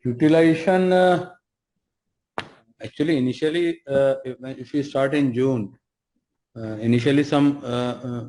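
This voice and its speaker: Mellow-voiced Indian executive, halting delivery. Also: male voice, mid-range voice, rough recording. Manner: halting, repeats phrases. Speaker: company executive leading an earnings call. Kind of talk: spontaneous business answers on a conference call.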